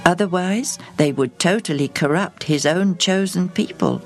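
A woman's voice: on the low side, normal speed, faint music behind, voice pushed up, lightly acted. A woman voicing a kindly older male priest who explains things patiently in a storybook. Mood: gentle.

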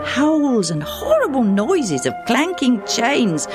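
Lady doing a chirpy little village boy, high and bouncy, super polite. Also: sing-song, slow, music in the background. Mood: eager. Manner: bright, chattering.

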